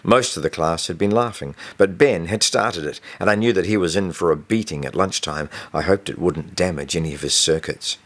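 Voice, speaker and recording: A man with a deep, low voice narrates a novel in the first person. He reads as an author telling a scripted story, in audiobook style. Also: brisk, few pauses. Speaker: author reading own novel.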